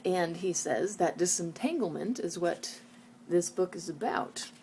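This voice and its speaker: A woman's voice: fairly low pitch, lively intonation, a little slow, warm tone. A woman vlogger speaking earnestly and reflectively, as if sharing thoughts from a book. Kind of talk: reading book passages aloud and informal reflective talk. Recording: noticeable background noise.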